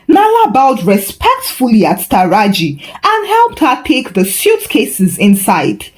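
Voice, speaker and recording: Woman, folktale narrator, scripted storytelling, high voice, very varied intonation, unhurried. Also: wildly swooping pitch.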